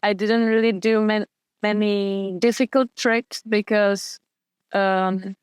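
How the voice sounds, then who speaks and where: A young woman answers interview questions in a smooth, clear voice recorded dry and close. She talks at an average pitch and often stops to restart her sentences. Her delivery is hesitant and full of fillers. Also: little pitch movement, takes it slowly, no room sound. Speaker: guest, wing foil and kiteboard athlete.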